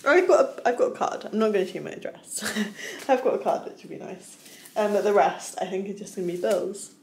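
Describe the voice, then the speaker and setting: A young woman vlogging about her day and workouts, chatting upbeat to a close phone camera, then calmly explaining each exercise in a voice-over. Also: medium pitch, fairly quick, slight background noise. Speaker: fitness vlogger. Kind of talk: casual vlog chat and workout voice-over.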